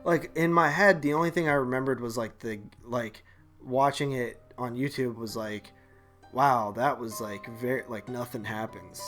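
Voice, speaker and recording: A man's voice: mid-range voice, moderate pace, fairly bright tone, faint music behind. A man co-hosting a movie podcast in casual, slangy chat, often dropping in "like" and "man". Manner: slangy, informal, heavy use of "like" and "man".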